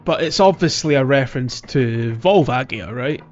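A man's voice: medium pitch, animated, moderate pace. A man hosting a podcast, chatting casually about games in a jokey way, prone to chuckling. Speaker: podcast host. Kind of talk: casual game discussion chat. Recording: slightly muffled, music underneath. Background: background music.

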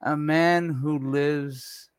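A man's voice: fairly high pitch, steady tone, extremely slow, clean tone, dry recording, studio quality. A man hosting a podcast in a casual, chatty style. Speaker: podcast host. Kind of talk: casual chat.

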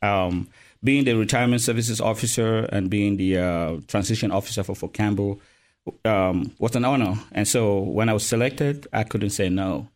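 A man's voice: fairly low pitch, moderate pace, darkish tone. A middle-aged man from Cameroon, a retirement services officer, talking earnestly in a casual interview with frequent ums. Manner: earnest, hesitant with many ums.

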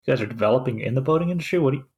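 Gravelly Finnish-born man, halting talk. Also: mid-range voice, animated, darkish tone. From Finland. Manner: hesitant, many fillers and restarts. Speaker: co-host. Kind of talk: casual podcast chat.

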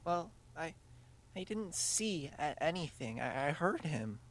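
Woman acting a nervous, expressive twelve-year-old boy. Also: deep voice, a little slow, full character voice. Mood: hesitant, unsure. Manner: hesitant, stammering. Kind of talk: student audio drama acting.

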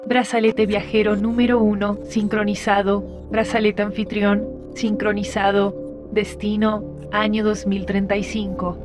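A woman as an impassive female computer voice in sci-fi audio fiction. It delivers system announcements calmly and evenly, with music behind. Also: mid-range voice, measured pace, close to an everyday voice. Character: calm, procedural female time-travel machine voice.

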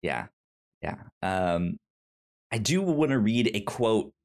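Halting, stammering podcast host in casual chat. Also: mid-range voice, big pitch swings, darkish tone. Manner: halting, stammering, repeats words. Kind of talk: casual film review chat.

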